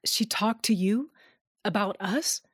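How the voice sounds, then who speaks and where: A woman playing a tender, hesitant wife in an audio fairy-tale drama, speaking earnestly and softly. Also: mid-range voice, slow, husky, no echo, clean studio sound. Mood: puzzled. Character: tender, hesitant adult wife on a magical quest.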